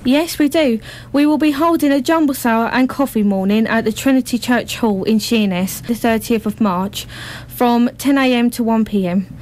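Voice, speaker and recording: A fairly high-pitched woman's voice. She gives event details and contact information as an amateur group member being interviewed on community radio. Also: moderate pace, darkish tone.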